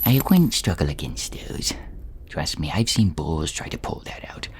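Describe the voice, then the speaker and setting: A man voicing a meek, chatty dungeon servant with a hushed, throat-burnt rasp and a hesitant, self-effacing manner in an audio role-play. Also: extremely low pitch, sing-song, moderate pace, slight background noise, full character voice. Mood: gossipy, hesitant.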